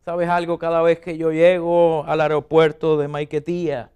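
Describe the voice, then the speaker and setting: A man preaches with fervor, his voice sitting high for a man. He speaks straight to his listeners as a pastor giving a sermon to a church following online. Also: completely flat delivery, normal speed, a little mellow, clean tone. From Puerto Rico. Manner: fervent preaching, addresses listeners directly.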